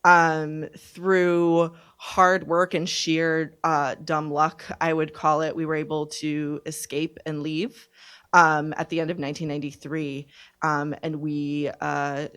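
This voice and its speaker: A woman in her twenties giving personal testimony at a panel discussion, speaking in a halting, deliberate, reflective way. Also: sits low, flat delivery, fairly bright tone, smooth. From born in Serbia, raised from infancy in Canada. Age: 20s. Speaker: panelist, refugee survivor. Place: conference event, speaking on a panel.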